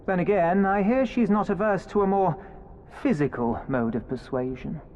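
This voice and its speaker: An actor playing a sneering imperial officer in a video game, with a mocking, sly, insinuating manner. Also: male voice, high voice, normal speed, darkish tone, dull audio, lightly acted. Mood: sly insinuation. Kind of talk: video game cutscene acting. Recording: background ambience and noise.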